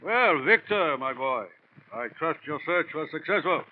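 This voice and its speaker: Pompous fat villain, tinny old radio. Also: male voice, a little high, animated, measured pace, a little hiss or hum. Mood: smooth menace. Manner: florid, elaborately polite, long-winded speech. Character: urbane, pompous, very fat male villain, verbose and menacing. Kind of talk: 1940s radio drama acting. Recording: tinny, narrow-band old radio broadcast.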